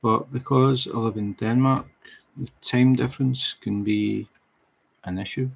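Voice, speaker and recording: A man's voice: mid-range voice, vocal fry, slightly rough, low-quality recording. A middle-aged man from Scotland with a Scottish accent, chatting casually with viewers on a crafting livestream. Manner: relaxed, unhurried, chatty with viewers. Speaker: diamond painting livestream host.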